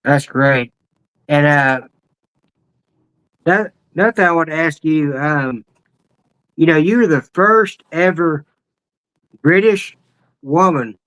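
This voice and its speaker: A man hosting a casual interview over a video call, asking questions in a halting way with many restarts. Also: fairly high pitch, a bit bright, rough recording. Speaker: interview host.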